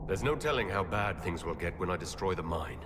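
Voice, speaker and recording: Low-voiced, expressive commander. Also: male voice, a little fast, noisy background. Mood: resolute. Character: commanding male leader of an embattled inquisition, resolute and wry. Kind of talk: video game cutscene voice acting.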